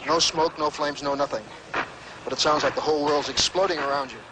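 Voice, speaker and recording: A man's voice: fairly high pitch, steady tone, fairly quick. A man reporting on the spot as a war correspondent from a combat zone, in a field recording. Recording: very noisy battlefield field recording.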